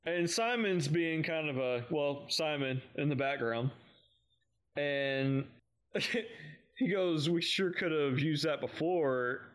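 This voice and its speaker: A man's voice: on the high side, takes it slowly. A man co-hosting a fan podcast, recapping in casual chat with an amused manner. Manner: amused, chuckles often while recapping. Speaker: podcast co-host.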